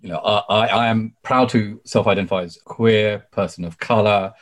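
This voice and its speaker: A British man in his forties talks as an interview guest in a fairly low voice. He is talkative and emphatic, telling his own story conversationally and often dropping in "you know". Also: fairly even intonation, normal speed. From UK, born in Sri Lanka. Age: 40s. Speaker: podcast guest, barrister.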